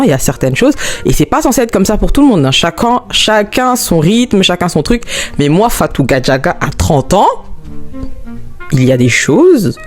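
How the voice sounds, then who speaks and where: Vlogger, woman, mid-twenties, chatty and expressive, soft music under her voice. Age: 20s. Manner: chatty, expressive, swoops up high when excited. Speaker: YouTuber. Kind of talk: casual vlog talk to camera.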